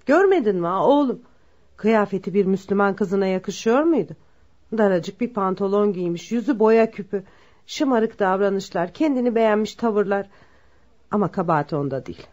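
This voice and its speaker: On a dull, lo-fi recording, an actress plays a mother of a grown son in a radio play. She is judgmental and sighing, with a mid-pitched voice and plain, moralizing delivery. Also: animated, normal speed, a little mellow, close to an everyday voice. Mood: disapproving. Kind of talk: radio drama acting.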